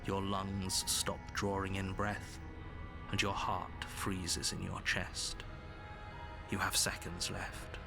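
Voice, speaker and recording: A man playing a stern, snippy game narrator, speaking in a measured, articulate and condescending way. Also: sits low, monotone, vocal fry, exaggerated voice. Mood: grave. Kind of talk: theatrical scripted narration. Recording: background music under the voice.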